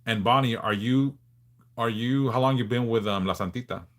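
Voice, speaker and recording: A man in his forties from Southern California, hosting a casual livestream and answering viewers in a frank, laid-back way with halting restarts. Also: medium pitch, fairly bright tone. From Los Angeles, California. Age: 40s. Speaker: livestream host.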